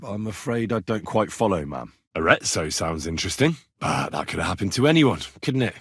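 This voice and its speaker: A man acting a young, plain-spoken medieval retainer in a video game, earnest and curious, with an expressive, conversational delivery. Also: deep voice, fairly quick, husky, no echo, clean studio sound, full character voice. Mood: wry, confiding. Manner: conversational, expressive, questioning, folksy turns of phrase.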